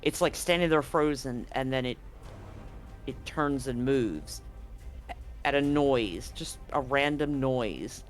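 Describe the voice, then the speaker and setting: A man running a tabletop horror game as game master, setting scenes slowly and deliberately, often restarting his sentences. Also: mid-range voice, lively intonation, faint music behind.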